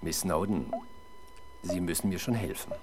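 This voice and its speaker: A man's voice: sits low, moderate pace, slight background noise, natural voice. A man playing a sceptical, sardonic middle-aged police interrogator in a 1970s German radio drama, dry and needling.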